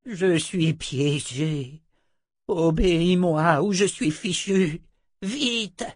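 An old male wizard from a fantasy tale, acted by a man, with a breathy croak and an emphatic, proud delivery. The pitch sits above the reader's own, in a very dry recording. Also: extremely slow, no room sound. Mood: strained, urgent. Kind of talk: audiobook character voice.

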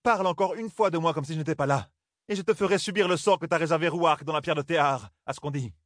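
A man voicing a fiery older woman warrior serving as a maid, shrill and commanding. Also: brisk, a bit bright, dry recording, studio quality. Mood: fierce.

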